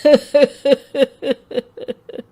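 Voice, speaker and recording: A grieving old village headman in a melodramatic tale, acted by a woman. The voice is fairly high and drags slowly, plaintive and full of a father's sorrow. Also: little pitch movement, warm tone. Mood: grief-stricken. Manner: slow, plaintive. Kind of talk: melodramatic story dialogue.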